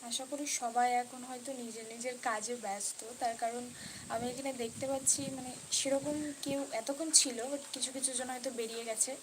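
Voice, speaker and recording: A woman with a fairly high voice hosts a casual live stream. She talks off the cuff, circling back over her phrases and dropping in English words. Clear background noise runs through the recording. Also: fairly even intonation, fairly quick. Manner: repetitive, mixes in English words. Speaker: live stream host reading and answering viewer comments.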